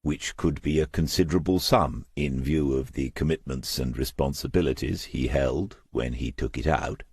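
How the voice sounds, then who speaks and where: Man playing a very deep, dry retired detective. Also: normal speed, few pauses, darkish tone, breathy edge, no echo, heavily acted. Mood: dry, shrewd. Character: retired male detective, dry, shrewd, patient and probing.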